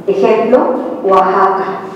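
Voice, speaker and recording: A middle-aged Mexican woman giving a lecture as a researcher, speaking deliberately and asking the audience rhetorical questions. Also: medium pitch, fairly even intonation, lo-fi sound, slight background noise. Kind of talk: live conference talk. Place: large hall with a seated audience. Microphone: handheld mic, heard from far off. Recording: echoey hall, distant camera mic.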